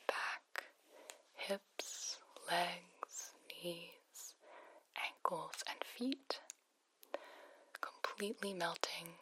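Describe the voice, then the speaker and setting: A woman whispers breathily through a phone voice effect, gently guiding a relaxation ASMR roleplay as a soothing sleep hotline specialist. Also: lowish voice, poor audio. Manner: slow, soothing, gently instructive. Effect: phone voice filter.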